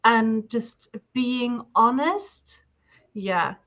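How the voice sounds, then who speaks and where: A woman's voice: a little high, lively intonation, drawn-out words, fairly bright tone. A woman who learned English as a second language, chatting thoughtfully as a guest in long, hedged sentences full of fillers. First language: not English. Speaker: guest discussing marketing and projects. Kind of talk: casual reflective chat. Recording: slightly muffled lo-fi audio.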